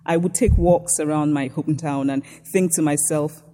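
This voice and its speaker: A woman from Nigeria with a Nigerian accent, reading a prepared lecture in a measured, reflective way. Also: low-pitched, fairly even intonation, warm tone, big-room sound, a little hiss or hum.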